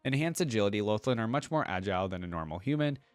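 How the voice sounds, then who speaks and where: Low-voiced man doing casual reaction commentary. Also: expressive, quick pace, no room sound, faint music behind. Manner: informal, musing aloud. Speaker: reaction video creator. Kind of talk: casual reaction commentary, partly reading aloud.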